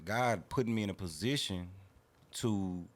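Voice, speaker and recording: A man's voice: lowish voice, unhurried. A man in his thirties talking casually and earnestly as a podcast guest, opinionated and slangy. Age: 30s.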